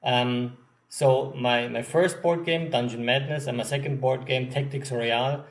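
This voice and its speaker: Man, German accent, game designer, halting unscripted update, many ums. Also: mid-range voice, fairly even intonation. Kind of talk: update to backers.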